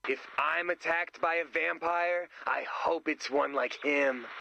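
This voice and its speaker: A man's voice: fairly high pitch, fairly even intonation, unhurried, gravelly, pushed and bright, heavily acted, rough recording. A man playing a naive, good-natured, goofy sidekick in a video game, earnest and guileless, with a radio effect. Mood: wide-eyed. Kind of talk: video game character voice acting.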